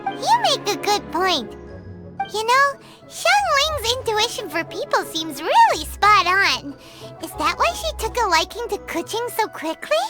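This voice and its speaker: A woman voicing a tiny, blunt, excitable fairy girl in a video game, with a squeaky childlike voice. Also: unhurried, exaggerated voice. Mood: chatty. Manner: refers to self in third person, exclamatory. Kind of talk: video game cutscene voice acting. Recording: music with jingles behind. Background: playful tinkling music.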